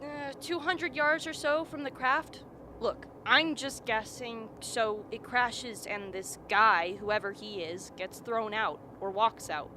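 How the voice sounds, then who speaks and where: A woman's voice: a little high, slow, pushed and bright. A woman playing a knowing, matter-of-fact expedition scientist in a radio drama. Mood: wry, matter-of-fact. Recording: faint background noise.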